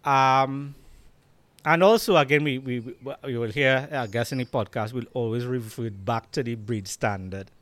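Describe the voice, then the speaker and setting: A man's voice: medium pitch, moderate pace, fairly bright tone, no echo. A man with a Trinidadian accent hosting a podcast, telling a story with many fillers and restarts. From Trinidad and Tobago. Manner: storytelling, hesitant with fillers and repeats. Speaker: podcast host and Rottweiler breeder. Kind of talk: casual conversation.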